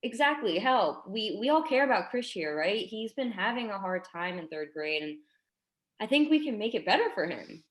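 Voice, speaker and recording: A woman's voice: medium pitch, very animated, normal speed, natural voice. A woman playing an earnest, tactful schoolteacher in her twenties in a staged drama reading, speaking hesitantly and delicately. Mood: careful, reassuring.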